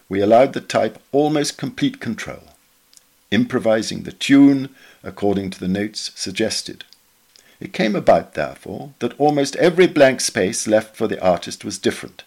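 A man reading a memoir essay aloud in a measured, literary, expressive way. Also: lowish voice, slightly rough. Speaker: reader of a magazine article.

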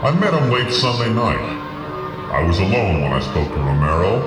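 A man's voice: low voice, sing-song, normal speed. A man narrating a scripted radio play in the first person. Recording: roomy, distant voice under music. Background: music.

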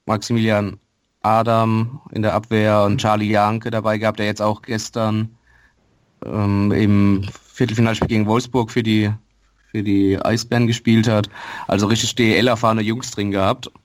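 A man's voice: lowish voice, little pitch movement, normal speed. A man co-hosting a casual sports podcast, matter-of-fact and hesitant, with many fillers. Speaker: podcast co-host.

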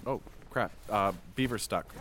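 An actor playing a harried man named Mark in a comedic audio drama, flustered and quick to change the subject. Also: lowish voice, noisy background, lightly acted. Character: harried adult male official named Mark.

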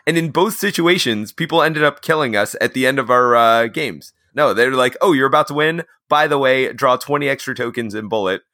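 A man co-hosting a casual podcast while stuffy with a cold, relaxed and wry. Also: medium pitch, fairly quick, few pauses, dry recording, studio quality. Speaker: podcast co-host.

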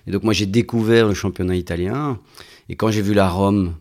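A man's voice: fairly low pitch, fairly quick. A man in his forties with a Belgian French accent, a guest chatting casually and talkatively with many restarts. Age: 40s. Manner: relaxed, talkative, phrases with many restarts and "ouais". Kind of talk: casual chat.

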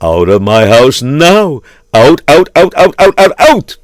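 A man's voice: low voice, animated, moderate pace, no room sound, studio quality, voice pushed down. A man playing a wealthy, stubborn miser in a told tale, repeating himself in falsely apologetic or indignant refusals. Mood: indignant, shouting. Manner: repetitive, stammering refusals.

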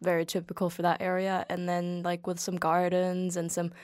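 A woman from Denmark, a native Danish speaker, chatting as a podcast guest, relaxed and quick to laugh. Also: fairly low pitch, dry recording, studio quality. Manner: relaxed, laughs easily, lots of fillers. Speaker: interview guest. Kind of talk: casual interview chat.

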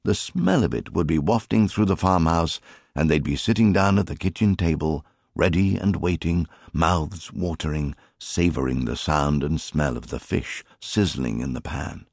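A man narrating an audiobook in an expressive, scripted storytelling style. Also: extremely low pitch, normal speed, creaky, no echo, clean studio sound.

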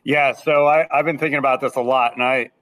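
A man's voice: mid-range voice, steady tone, quick pace, fairly bright tone, far from the mic. A man in his thirties who is a school band director, talking through his teaching in a podcast interview, with a slightly nasal voice and frequent repeated words as he starts his sentences.

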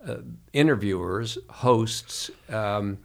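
A man hosting an interview, speaking haltingly with many fillers. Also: lowish voice. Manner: hesitant, many fillers, friendly. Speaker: host.

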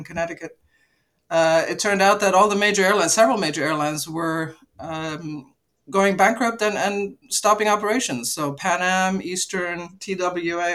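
A woman's voice: fairly low pitch, fairly bright tone. Woman in her fifties from Luxembourg; upbeat, chatty guest telling her story. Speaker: podcast guest, aviation executive. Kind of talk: casual interview conversation.

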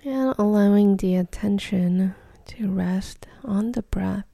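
Female meditation guide: very mellow, relaxed tone with gravelly creak, soft and breathy, soothing short phrases. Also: mid-range voice, slow pace, dry recording.

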